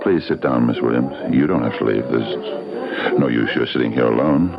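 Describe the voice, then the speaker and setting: Menacing, very deep, gravelly bully. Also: male voice, moderate pace, noisy background. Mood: oily taunting. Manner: taunting, oily politeness. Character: menacing, mockingly polite man who bullies his friend. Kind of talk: old-time radio drama acting. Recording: muffled vintage radio recording.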